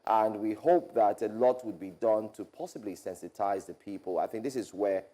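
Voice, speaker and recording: A man's voice: on the low side, moderate pace, warm tone. A man co-hosting a morning talk show, chatting loosely with many fillers and then reading out the headlines. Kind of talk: casual studio chat and headline reading.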